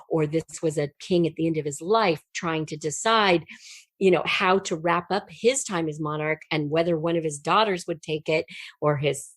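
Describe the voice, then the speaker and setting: A middle-aged woman telling personal stories as an interview guest, in an expressive way that acts out remembered dialogue. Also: sits low, no echo. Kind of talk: personal storytelling in conversation.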